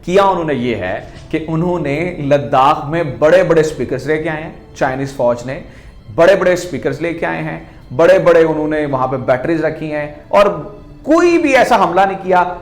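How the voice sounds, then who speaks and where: A man with a Pakistani accent hosts a conversational political commentary, explaining emphatically and answering his own rhetorical questions. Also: a little high. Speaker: host of a news commentary video channel. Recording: echoey, reverberant room sound.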